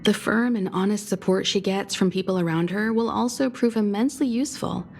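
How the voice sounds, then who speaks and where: A woman voicing a calm, caring guide in a video game, speaking in a composed, articulate and reassuring way. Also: mid-range voice, a little fast, slight background noise, close to an everyday voice. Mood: calm, explanatory. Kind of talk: scripted video game dialogue. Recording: music behind the voice.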